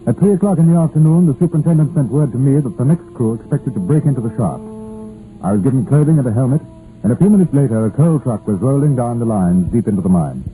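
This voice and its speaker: An actor playing a composed Scotland Yard chief inspector who narrates his case in measured, deliberate phrases in a 1950s radio crime drama. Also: mid-range voice, expressive, mellow, lightly acted. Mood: grave, reflective. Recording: muffled old radio recording with faint music.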